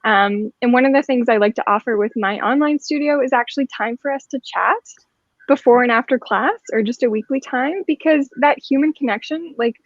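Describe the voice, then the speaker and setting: A woman's voice: high voice, a little fast, no room sound. A woman who teaches an online studio, speaking reflectively on a panel with many fillers, over a video call. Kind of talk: casual panel chat. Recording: dull dry video call audio.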